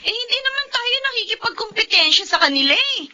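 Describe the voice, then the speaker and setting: A woman's voice: very high-pitched, big pitch swings, quick pace, pushed and bright, exaggerated voice. A woman with a Filipino accent playing a modest, touchy wife who complains plaintively in a radio drama. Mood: aggrieved, plaintive. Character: modest, touchy young wife, resentful of show-offs. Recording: dull lo-fi audio with faint noise.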